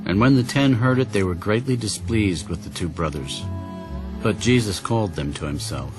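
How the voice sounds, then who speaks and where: A man narrating a dramatized Bible story in a measured, solemn storytelling manner. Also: lowish voice. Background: background music.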